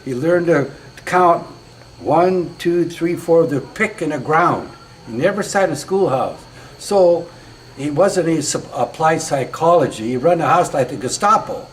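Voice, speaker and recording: Older man interviewee, slightly high voice, blunt and plain, faint hiss. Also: expressive, a little slow, low-quality recording. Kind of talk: reminiscing interview talk.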